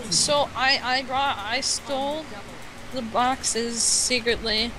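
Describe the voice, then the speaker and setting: A playful, halting speaker from the United States telling a rambling tall tale as a guest on an internet voice call. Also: medium pitch. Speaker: guest storyteller on a gaming voice call. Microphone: Skype call. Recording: clearly noisy internet voice call.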